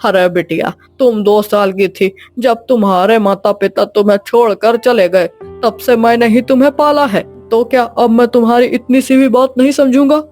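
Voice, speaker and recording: Guy doing a loving old grandpa, protective and reassuring, cartoon story, soft music behind. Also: very high voice, fairly even intonation, moderate pace, hardly any pauses. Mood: fond, amused. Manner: affectionate, chuckling, reassuring. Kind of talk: animated moral story dialogue.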